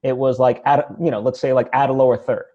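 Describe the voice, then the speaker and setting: A man's voice: medium pitch, little pitch movement, fast. A man hosting a casual interview, thinking aloud in a tentative, hedging way. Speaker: interview host. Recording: slightly dull, lo-fi audio.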